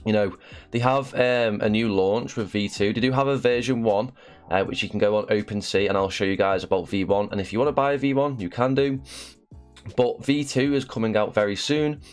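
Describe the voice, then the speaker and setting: A man's voice: fairly low pitch, steady tone, fairly quick, faint music behind. A young man with a slight cough hosting a casual promotional video, chatty and full of fillers. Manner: chatty, with frequent "uh" and "um". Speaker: channel host.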